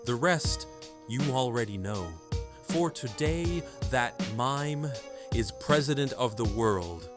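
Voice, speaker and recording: A man's voice: medium pitch, lively intonation, slow pace, music in the background. A man playing an earnest fugitive leader in an audio drama, speaking seriously and formally. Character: earnest male fugitive leader, keeper of the word.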